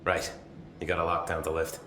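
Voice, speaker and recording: An actor playing a friendly, chatty male agency guard in a video game, casual and easygoing with drawn-out hesitations. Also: low-pitched, completely flat delivery, pushed and bright. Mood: amused, candid. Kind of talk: video game cutscene acting. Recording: roomy echo, faint background noise.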